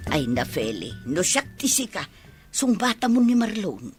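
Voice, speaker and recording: A woman's voice: medium pitch, sing-song, moderate pace, soft background music, close to an everyday voice. An actress playing a nosy, coaxing older village mother in a radio drama, drawing out her interjections. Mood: teasing.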